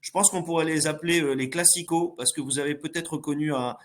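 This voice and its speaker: A man's voice: fairly high pitch, fairly even intonation, fairly quick. Polite man hosting a business webinar. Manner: polite, formal, with frequent fillers. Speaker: webinar host.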